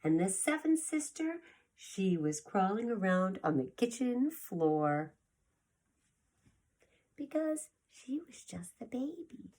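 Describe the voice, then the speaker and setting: A woman preschool teacher reading a story aloud to young children, expressive and deliberate, with a breathy voice that often drops toward a whisper. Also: mid-range voice. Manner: addresses children directly, expressive with gasps and sound effects.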